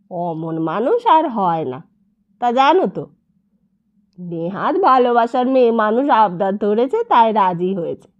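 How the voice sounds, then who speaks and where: A woman's voice: on the high side, lo-fi sound. A woman voicing a shrewd, grasping older woman who haggles in a wheedling, complaining, familiar way.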